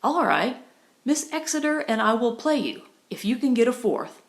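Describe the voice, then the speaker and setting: A woman's voice: a little high, lively intonation, moderate pace, voice pushed up. A woman narrator voicing an aloof teenage boy who speaks in a curt, dismissive way, in an audiobook. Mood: cool.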